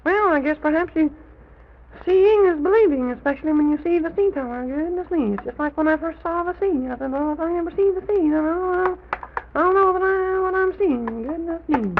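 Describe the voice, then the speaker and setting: A performer playing a quaint, rambling, excitable little old gentleman in an old children's radio serial, with a high, creaky voice. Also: fairly quick. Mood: chatty, muddled. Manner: rambling, repetitive, exclaims "goodness me". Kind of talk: 1920s-30s children's radio serial acting. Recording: tinny, noisy old radio recording.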